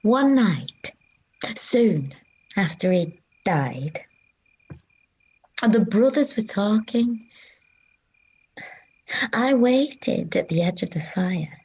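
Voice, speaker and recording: A woman playing a grieving but resolute female disciple who recalls her rejection in a halting dramatic monologue with long pauses. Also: fairly low pitch, vocal fry, darkish tone, slightly rough, full character voice, poor audio. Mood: remembering, guarded.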